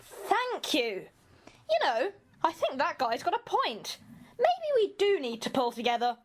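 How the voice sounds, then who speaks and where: A woman's voice: high voice, very animated, a little slow. A woman acting in an amateur radio play as a sensible, earnest peacemaker who is easily exasperated. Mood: reasonable.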